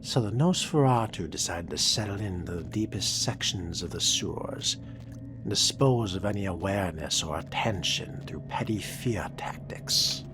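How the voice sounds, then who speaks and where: A man with a husky, breathy voice giving dry, sardonic in-character commentary as he plays a role-playing game. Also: low-pitched, animated, normal speed, music in the background. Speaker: player narrating a role-playing game playthrough.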